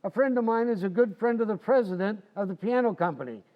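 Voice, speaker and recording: A man acts out a folksy, wisecracking husband in an old radio comedy. His voice sits high, cocky and stubborn. The stage recording is a bit muffled. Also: fast talker, close to an everyday voice. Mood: indignant, pleading. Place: community playhouse stage, live recreation.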